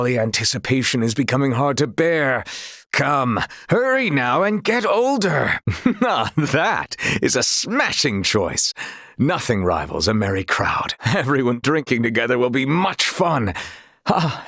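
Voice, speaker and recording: Husky, courtly young knight. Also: male voice, mid-range voice, fairly bright tone, dry recording, clean studio sound, heavily acted. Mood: amused, cheerful. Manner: formal, archaic, courtly phrasing. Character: earnest, chivalrous young adult male knight, courtly and protective. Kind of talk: video game voice acting.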